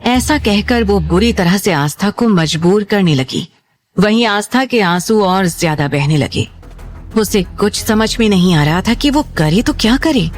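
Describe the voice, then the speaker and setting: Woman storyteller, mid-pitched voice, reading a dramatic serial with music behind her. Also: animated, unhurried. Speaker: narrator. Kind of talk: scripted dramatic story narration. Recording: music bed under the voice.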